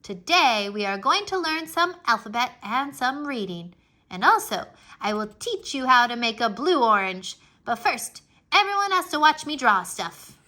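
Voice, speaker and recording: A woman voicing a bossy, excitable little kindergarten girl in a children's storybook, with a cheeky, emphatic, childlike delivery. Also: fairly high pitch, moderate pace. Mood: bossy, showy. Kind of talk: children's storybook read-aloud.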